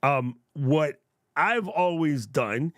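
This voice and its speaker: A man's voice: fairly high pitch, lively intonation, extremely slow, dry recording. Playful chatty man on a close studio mic. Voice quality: loud voice. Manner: playful, jokey, chatty with viewers. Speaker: tech reviewer livestream host. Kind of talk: casual livestream product demo. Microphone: studio broadcast mic up close.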